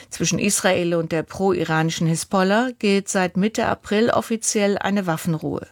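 A woman reads radio news and the weather in an even, measured way. Her voice sits a little low. The studio sound is dry and close. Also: dry recording. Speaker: newsreader. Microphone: studio mic.

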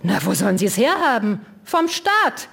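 A woman's voice: on the high side, sing-song, moderate pace, gravelly, a bit bright, slightly rough, voice pushed up, heavily acted. A woman voicing a pompous older male club chairman, giving a rousing, declamatory speech in an audiobook. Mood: indignant.

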